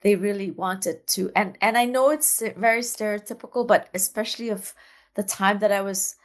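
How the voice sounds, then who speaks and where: A middle-aged woman from Singapore speaks as a guest over a remote call, in an easy, conversational way. She laughs often and restarts her sentences as she talks. Her pitch sits at an ordinary middle height for a woman. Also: normal speed. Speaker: guest, dance artist. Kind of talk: casual interview chat.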